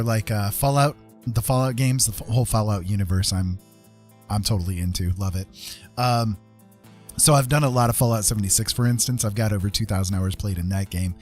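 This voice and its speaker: A man's voice: fairly low pitch, a little mellow. A middle-aged man chatting casually to his livestream viewers, rambling and hesitant as he goes. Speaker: livestreamer and digital artist. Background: music playing.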